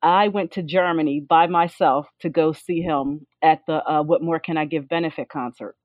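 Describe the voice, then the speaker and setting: A woman speaking as a guest in a casual roundtable and telling her story in an animated, chatty way. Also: fairly low pitch, dry recording. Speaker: panel guest.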